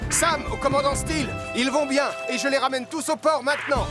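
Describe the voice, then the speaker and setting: An actor playing a dutiful rescue firefighter in a cartoon, giving brisk, purposeful orders. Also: male voice, extremely high pitch, steady tone, loud music behind the voice. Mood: brisk, in command. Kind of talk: animated cartoon acting in French dub.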